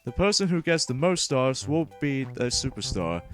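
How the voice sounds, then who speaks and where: A man's voice: medium pitch, sing-song, normal speed. Rambling man hosting a let's play. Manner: wordy, rambling, stumbles over words. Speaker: host of a gaming let's play. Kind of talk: gameplay commentary and read-aloud narration. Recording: music playing behind the voice. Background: video game music.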